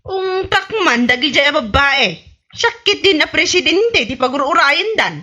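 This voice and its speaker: A woman's voice: sits high, animated, measured pace, bright, forceful tone, heavily acted. A woman with an Ilocano Filipino accent playing a vain, bossy president of a village women's club in a radio comedy drama, haughty and imperious. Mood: impatient, bossy.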